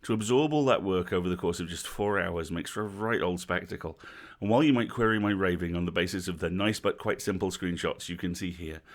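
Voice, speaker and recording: A man's voice: low-pitched, brisk. A British man with a wry, dry delivery, hosting a stream and reading a written review aloud with casual asides. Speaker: host of a livestream reading series.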